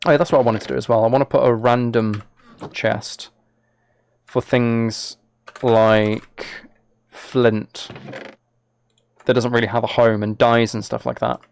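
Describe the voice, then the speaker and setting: A man's voice: mid-range voice, level tone, unhurried, gravelly, long pauses, a little hiss or hum. A man chatting casually on a live game stream, thinking aloud as he plays and replying to viewers.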